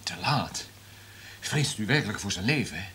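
A meek male subordinate in a radio play, hesitant and questioning. His lowish voice moves up and down a lot, with slight noise on the recording. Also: expressive, moderate pace, pushed and bright. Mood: anxious, deferential. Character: deferential male subordinate manager, hesitant, anxious. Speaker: radio drama actor. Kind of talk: radio drama dialogue.

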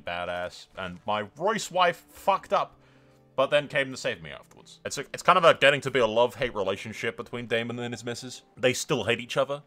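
A man's voice: mid-range voice, lively intonation, brisk, sharp tone, faint music behind. A man giving casual, sweary let's play commentary, telling the story in mock-grand announcer phrases and repeating himself for emphasis. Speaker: gaming video creator.

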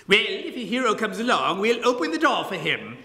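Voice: medium pitch, sing-song, a little fast, sharp tone, long reverb tail, a little hiss or hum, pitched above the performer's own voice. Narrator playing a grandly courteous walrus. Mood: genial. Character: genial pompous male walrus, beachcomber.

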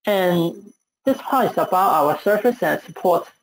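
A man with a Chinese accent presenting software in a webinar, hesitant with frequent fillers. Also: high voice, expressive, unhurried, no room sound, poor audio.

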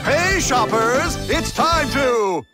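A man playing a hyped, muscular TV shopping pitchman in a game cartoon, showy and hard-selling. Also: expressive, slow pace, over music, exaggerated voice. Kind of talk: parody infomercial in game cutscene.

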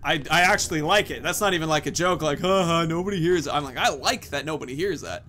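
A man's voice: on the high side, fast talker, bright, forceful tone. A man in his thirties giving casual let's-play commentary, rambling informally as he thinks aloud into a headset. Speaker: gaming video host.

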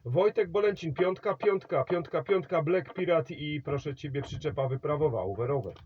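A man's voice: fairly high pitch, normal speed, dull audio, background noise. A man working a CB radio contact, rambling about his trailer setup, giving signal reports and handing over the microphone. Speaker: CB radio operator.

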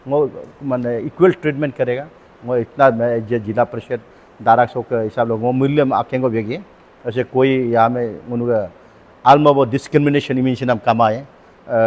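Halting Arunachali man, mid-pitched voice. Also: darkish tone. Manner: halting, mixes languages mid-sentence. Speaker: local council member. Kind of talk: press statement. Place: open-sided covered community hall. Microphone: lapel microphone.

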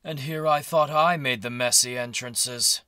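A man's voice: medium pitch, fairly even intonation, unhurried, bright, forceful tone. A man playing an aloof, sardonic cartoon hedgehog rival, curt and dismissive, in a fan audio drama.

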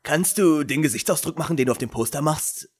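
A man playing a goofy, cheeky young prank interviewer in a comedy fandub, with a silly, halting delivery. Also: on the high side, expressive, breathy edge. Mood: cheeky, playful.

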